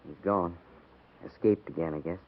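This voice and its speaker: An actor playing a dutiful, anxious cavalry sergeant, deferential and questioning, in 1950s radio western style. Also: male voice, low voice, normal speed, lightly acted. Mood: uneasy. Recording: muffled, faintly noisy 1950s radio recording.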